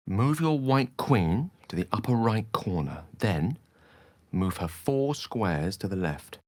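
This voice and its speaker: A man's voice: low-pitched, dry recording, studio quality, exaggerated voice. A man playing an eloquent, bookish video game wizard, wry, proud and courteous, with an articulate, theatrical and refined delivery. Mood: earnest. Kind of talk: video game character acting.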